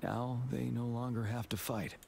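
An actor playing a stoic young male general, speaking in a solemn, measured and earnest way. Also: medium pitch, flat delivery, vocal fry, darkish tone, slight background noise, lightly acted. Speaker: game character.

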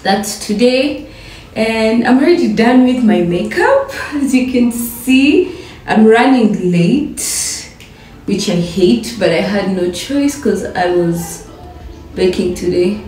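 A woman's voice: medium pitch, animated, slow. A young woman vlogging casually as she gets ready, chatty and playful, often repeating words for fun. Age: young adult. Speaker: vlogger and home baker. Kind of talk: casual get-ready-with-me vlog chat. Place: bathroom with tiled walls and glass shower. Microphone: camera set back across the room. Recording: echoey bathroom, heard from a distance, soft music.

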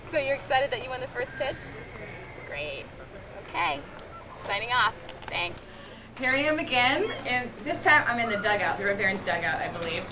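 A woman's voice: a little high, lively intonation, moderate pace, long pauses. A young woman interviewing people at an outdoor stadium with a handheld mic, friendly and giggly. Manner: friendly, giggly, encouraging questions. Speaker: company video interviewer. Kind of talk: casual on-camera interviews. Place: outdoor baseball stadium and dugout. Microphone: handheld mic on camcorder audio. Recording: distant camcorder audio with noise.

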